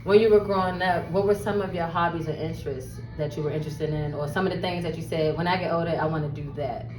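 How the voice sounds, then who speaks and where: Low-voiced woman hosting, roomy sound. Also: steady tone, fast talker, noisy background. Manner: slangy, informal. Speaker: interview host. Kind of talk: casual interview. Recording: roomy, distant mic, loud music behind.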